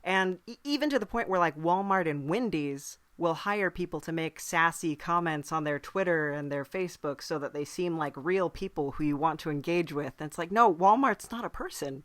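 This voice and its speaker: A woman hosting a podcast in a casual comedic interview style, playful, with halting, drawn-out thoughts. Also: lowish voice, a bit bright, no echo. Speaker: podcast host.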